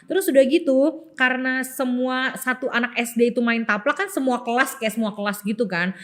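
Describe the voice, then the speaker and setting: A woman's voice: on the high side, fairly quick, fairly bright tone. A young woman with a Jakarta Indonesian accent, chatting casually and expressively in colloquial speech as a podcast co-host. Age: young adult. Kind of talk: casual chat.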